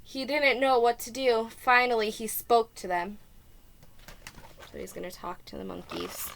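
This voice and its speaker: Slow, higher-voiced woman reading to children. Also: creaky, slight background noise. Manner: expressive, deliberate, stressing key words. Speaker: preschool teacher reading aloud. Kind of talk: children's storybook read-aloud with asides.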